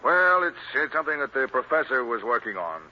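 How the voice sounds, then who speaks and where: An actor playing a stubborn, plain-spoken police commissioner with a gruff manner, in old radio drama style. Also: male voice, mid-range voice, sing-song, brisk, highs cut off, close to an everyday voice. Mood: explaining. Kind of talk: 1940s radio acting.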